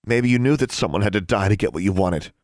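A man voicing a grieving, angry young daughter in an audiobook, accusing and emotional, with a shrill edge. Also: fast talker, darkish tone, no echo, studio quality, natural voice. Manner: accusing, emotional, rising to shrieks. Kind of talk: dramatic audiobook character acting.

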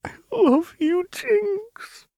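An intense, fragile young woman, played by a male narrator, lifted far above his natural pitch. Her delivery is breathy and full of feeling, fiercely devoted. It is a dramatic storybook reading with a dry sound. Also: expressive, drawn-out words, mellow, no room sound, heavily acted. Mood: sobbing.

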